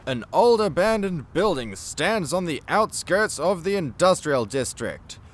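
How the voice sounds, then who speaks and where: A man's voice: a little high, big pitch swings, a little slow, slight background noise. A man narrating a scripted superhero comedy radio play with a dramatic announcer's delivery.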